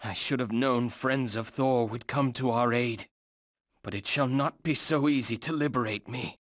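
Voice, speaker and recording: An actor playing a proud, noble Norse warrior god in a video game, speaking formally and solemnly in archaic phrasing. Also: male voice, mid-range voice, moderate pace, no echo, clean studio sound, lightly acted. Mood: urgent, grave. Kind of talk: scripted fantasy game dialogue.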